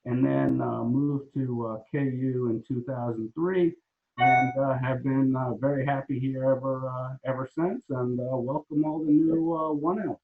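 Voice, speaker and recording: A man's voice: mid-range voice, a little slow, soft background music. Middle-aged New York man on a thin video call. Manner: relaxed, hesitant with many fillers. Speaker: law professor. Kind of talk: casual talk on a video call. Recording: phone-like video call audio.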